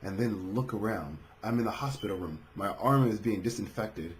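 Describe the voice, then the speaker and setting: A man's voice: fairly low pitch, animated, moderate pace, very noisy. A man narrating and commenting on a visual novel playthrough as he reads it aloud. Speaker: video game streamer reading aloud. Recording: music behind the voice.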